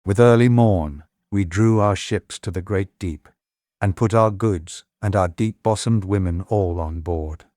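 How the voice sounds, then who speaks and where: A man's voice: sits low, unhurried, a little mellow, no room sound, studio quality. An actor playing a grave, long-winded old warrior king, recounting war in stately oratory for an epic audiobook drama.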